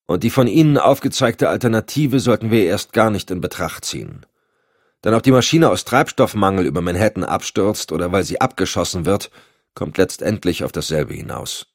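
A man's voice: low-pitched, animated, normal speed, no echo, clean studio sound. A man voicing a curt, sardonic, commanding agency chief with clipped formal speech in an audiobook. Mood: cool, controlled. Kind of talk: audiobook dialogue.

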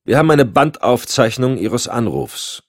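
A man's voice: mid-range voice, animated, a little slow, no echo, clean studio sound, voice pushed up. A man voicing a curt, sardonic, commanding agency chief with clipped formal speech in an audiobook. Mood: matter-of-fact. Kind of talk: audiobook dialogue.

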